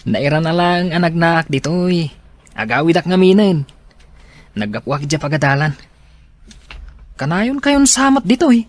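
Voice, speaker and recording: A young student in a radio drama. The voice sits slightly low and the sound is dry. The manner is matter-of-fact. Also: female voice, very animated, measured pace, long pauses, dry recording.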